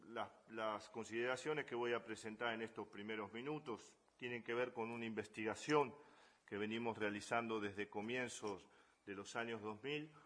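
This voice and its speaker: Slow-paced Argentine man lecturing. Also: mid-range voice, fairly bright tone. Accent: Argentine Spanish. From Buenos Aires, Argentina. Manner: measured, hesitant with frequent fillers. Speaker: conference speaker. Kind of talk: academic lecture.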